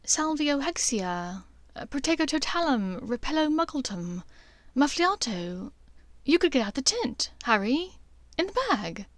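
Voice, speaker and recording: An anxious young witch, voiced by a woman narrator, speaks high and urgently. She pleads in halting, breathless phrases, as in a dramatic fantasy audiobook, with a close, dry sound. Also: big pitch swings, no room sound. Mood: tense, practical.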